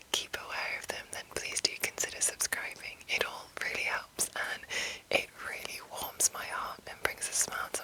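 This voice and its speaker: A woman host speaking in a breathy ASMR whisper, with careful, deliberate phrasing. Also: sharp tone, low-quality recording. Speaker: ASMR host reading a monster manual.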